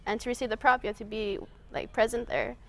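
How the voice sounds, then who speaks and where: A woman's voice: fairly high pitch, steady tone, fast, a little hiss or hum. A young adult woman coordinating a youth film festival, talking casually with lots of "like" and "um" in a TV studio interview. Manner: casual, fillers like "like" and "um". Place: TV studio set, indoors.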